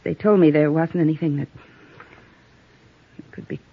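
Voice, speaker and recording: An actress playing a weary, bitter widow in a halting, emotional, world-weary manner, in the style of 1950s radio drama. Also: female voice, low voice, fairly even intonation, breathy edge. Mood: numb, grieving. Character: weary, bitter widow fighting back grief. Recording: dull old radio recording.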